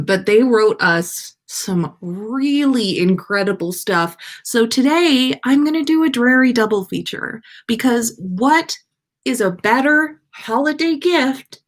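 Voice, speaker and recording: A woman hosting a livestream in a chatty, playful, theatrical way as she reads stories aloud. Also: sits high. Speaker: podcast host reading fan fiction aloud. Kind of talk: chatty livestream and animated story reading.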